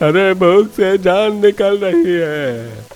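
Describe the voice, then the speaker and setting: A man playing a starving, weak old beggar in a cartoon story, pleading in drawn-out phrases. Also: sits high, lively intonation, darkish tone, soft background music. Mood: desperate pleading. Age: older. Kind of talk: Hindi cartoon story acting.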